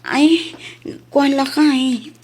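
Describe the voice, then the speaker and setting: A woman's voice: high voice, extremely slow, a little mellow, heavily acted. A woman with an Ilocano accent playing a meek, pleading wife and mother in a comedy radio drama. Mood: pleading, evasive.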